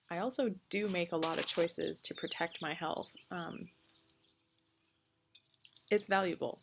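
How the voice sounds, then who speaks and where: A woman in her late thirties speaks in a casual, reflective vlog, pausing often with ums. Her voice sits fairly low and carries a noticeable gravelly fry. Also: slight background noise. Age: 30s. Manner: earnest and reflective, frequent ums. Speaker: vlogger. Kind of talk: casual talk to camera.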